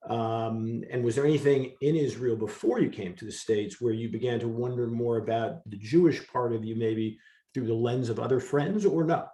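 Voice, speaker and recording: A man's voice: medium pitch, normal speed, warm tone, roomy sound. A man who interviews his guest in an engaged way and asks long, searching questions. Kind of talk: conversational interview.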